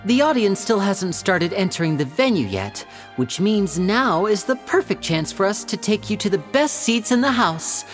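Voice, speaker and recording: A woman's voice: mid-range voice, very animated, moderate pace, hardly any pauses, faint music behind, close to an everyday voice. A woman voicing a charming young male stage magician in a video game, polite and playful with a lilting delivery. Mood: pleased, welcoming.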